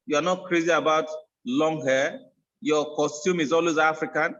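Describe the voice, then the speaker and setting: A man's voice: on the high side, steady tone, moderate pace, fairly bright tone, slight background noise. A man hosting a talk show, preachy and encouraging, asking rhetorical questions. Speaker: host. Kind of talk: casual talk show chat. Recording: dull lo-fi sound.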